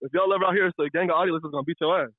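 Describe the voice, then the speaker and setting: Muffled, high-voiced American man calling in. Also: fairly even intonation, very fast, no room sound. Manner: slangy, profane. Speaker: caller. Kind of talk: casual personal storytelling.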